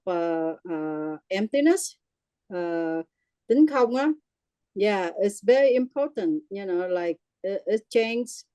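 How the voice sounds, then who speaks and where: Halting Vietnamese-accented middle-aged woman. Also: fairly low pitch, warm tone, clear, resonant tone, dry recording. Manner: halting, many fillers and restarts, laughs easily. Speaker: group member sharing her experience. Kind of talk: casual personal sharing.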